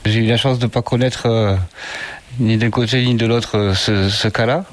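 A man's voice: fairly low pitch, fairly even intonation, moderate pace. A middle-aged man, a parent, answering children's questions in simple, friendly words. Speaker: interviewee, parent. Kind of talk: casual interview answers.

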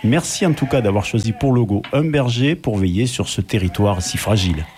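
A man, telling a personal story as a read-out radio column, measured and wry. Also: on the low side, lively intonation, very noisy.

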